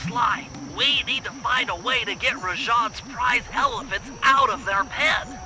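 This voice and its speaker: An actor playing a fussy, confident, brainy turtle tactician who gives precise, technical briefings in a cartoon video game. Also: male voice, very high-pitched, unhurried, background noise. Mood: focused planning. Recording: game music behind voice. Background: game music.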